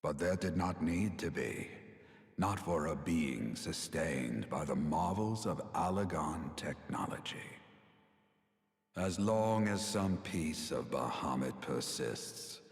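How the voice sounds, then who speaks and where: Very deep, grave elder sage. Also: male voice, expressive, heavily acted. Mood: solemn. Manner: stately, deliberate, theatrical. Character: grave, weary elder male sage recounting his downfall. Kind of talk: solemn fantasy game cutscene acting. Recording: long cavernous reverb.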